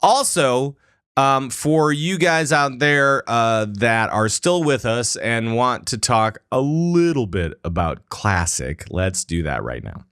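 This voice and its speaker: A man hosts a gaming chat show in a middle-pitched voice. He rambles, piles on fillers and restarts, and keeps things easygoing. The sound is dry and close, with no room around it. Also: animated, slow, a bit bright, smooth, dry recording. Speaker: podcast host. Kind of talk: casual gaming podcast chat.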